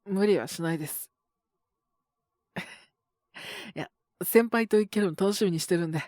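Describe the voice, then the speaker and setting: Slow-talking, coughing young junior coworker, acted by a man. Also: high voice, darkish tone, no room sound, studio quality. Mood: polite, grateful. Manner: polite and deferential on the phone, sighing, murmuring to himself. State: coughing, sick with a cold. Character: earnest young male junior coworker with a cold. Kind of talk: Japanese situation voice drama, intimate solo acting.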